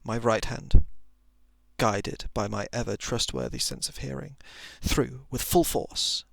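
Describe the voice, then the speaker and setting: Male ASMR narrator for a suspense story, low gravelly hush, very dry close sound, dramatic phrasing. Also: fairly even intonation, measured pace, dry recording. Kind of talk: whispered ASMR reading of a thriller short story.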